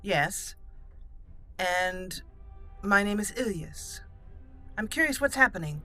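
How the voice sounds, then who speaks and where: Woman, bright voice, roleplay gaming commentary, halting and thoughtful, formal wording, soft music behind. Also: medium pitch, lively intonation. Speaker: gaming channel host, roleplaying player. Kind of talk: roleplay game commentary, improvised in character. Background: game music.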